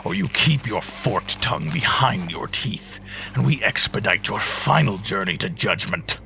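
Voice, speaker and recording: An actor playing a stern, noble warden lord in a fantasy audio drama, formal and commanding. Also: male voice, fairly low pitch, very animated, gravelly, sharp tone, faint music behind, exaggerated voice. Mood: stern threat. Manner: formal, measured, authoritative.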